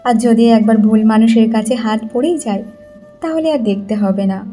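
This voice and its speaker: A woman's voice: fairly high pitch, normal speed, warm tone, soft background music. A woman narrating a cartoon moral story in a scripted storytelling style.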